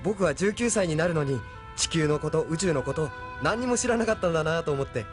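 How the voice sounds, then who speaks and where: A man's voice: a little high, animated, a little fast, over music, natural voice. An actor playing a sincere, pensive nineteen-year-old young man in an audio drama, speaking earnestly. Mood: wistful. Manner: earnest, wistful, introspective. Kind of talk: anime-style audio drama acting.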